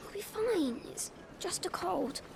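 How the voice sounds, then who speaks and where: A woman's voice: very high voice, lively intonation, a little slow, vocal fry, background noise, exaggerated voice. A woman voicing an eager, innocent young boy, an admiring little brother in a fantasy video game. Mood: mild, stubborn.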